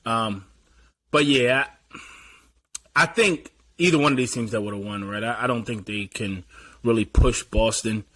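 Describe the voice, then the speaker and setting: A man with a mid-pitched, bright, forward voice. He breaks down games in an easygoing, analytical way and often clears his throat. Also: a little slow, long pauses, low-quality recording. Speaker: podcast host. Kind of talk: casual sports chat.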